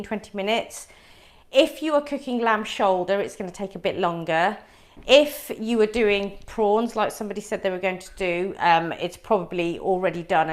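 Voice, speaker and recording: A middle-aged woman whose first language is Punjabi, teaching a live cooking class. She talks viewers through each step in a friendly, chatty way at a mid pitch.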